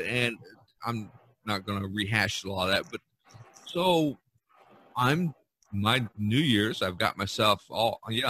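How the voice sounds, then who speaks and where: A man in his early sixties hosting a casual, rambling podcast chat, halting and often repeating words. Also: medium pitch, sharp tone, low-quality recording. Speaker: podcast host.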